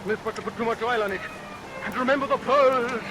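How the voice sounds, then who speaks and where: An actor playing a greedy, murderous London barber, oily and menacing, in old-time melodramatic radio style. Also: male voice, very high voice, a little fast. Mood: agitated, furious. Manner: theatrical, swings from fawning to furious snapping. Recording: noisy vintage radio recording, rain over it. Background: steady rain.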